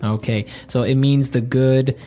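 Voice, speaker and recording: A male podcast teacher with a mellow, relaxed voice leads a conversational vocabulary lesson. He repeats phrases, with light music underneath. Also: medium pitch, a little slow. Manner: repeats phrases and asks checking questions. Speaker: podcast co-host, English teacher.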